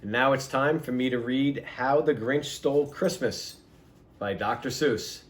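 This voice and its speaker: A man reading a children's storybook aloud in an expressive, emphatic, theatrical manner. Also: mid-range voice, unhurried.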